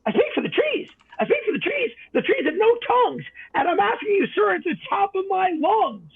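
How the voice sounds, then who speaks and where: High, sharp, bossy little creature. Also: male voice, big pitch swings, fairly quick, creaky, narrow, tinny audio, exaggerated voice. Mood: indignant. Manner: bossy, shouting in protest. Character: bossy, indignant little old mossy forest creature. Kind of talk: storybook character voice.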